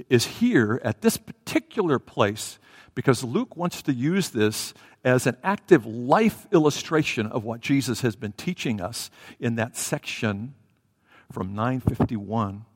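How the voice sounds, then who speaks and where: Male preacher, loud voice, casual halting delivery, headset mic, long church reverb. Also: medium pitch. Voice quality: self-described loud voice. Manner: conversational and hesitant, with fillers and restarts. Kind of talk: sermon with Bible reading and prayer. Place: church sanctuary. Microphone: headset microphone. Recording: reverberant hall.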